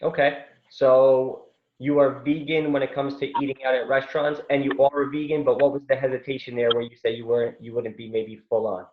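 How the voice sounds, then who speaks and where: A man's voice: medium pitch, flat delivery, brisk, background noise. A man interviewing a guest, asking plain, probing follow-up questions. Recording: dull, echoey room.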